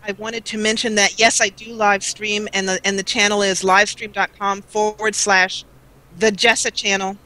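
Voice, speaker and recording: A woman's voice: medium pitch, level tone, normal speed, sharp tone, poor audio. A woman chatting casually as a guest on a livestream. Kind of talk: casual chat.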